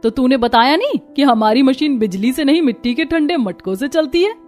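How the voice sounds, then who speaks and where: A woman's voice: high voice, animated, a little fast, a little mellow. A woman playing a hardworking, earnest young village daughter-in-law in a cartoon. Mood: proud.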